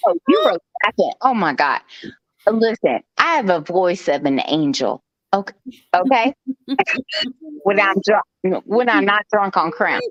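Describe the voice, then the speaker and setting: A woman's voice: medium pitch, sing-song, unhurried, a bit bright, no echo. A woman hosting a live stream, telling a personal story in a chatty, joking way, with frequent "okay" asides. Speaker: host. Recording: dull lo-fi stream audio.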